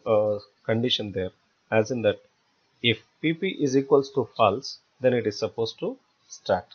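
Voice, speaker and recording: A man giving step-by-step software tutorial narration as an instructor, in a halting, repetitive explanatory way. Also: mid-range voice. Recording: dull lo-fi voice-over recording.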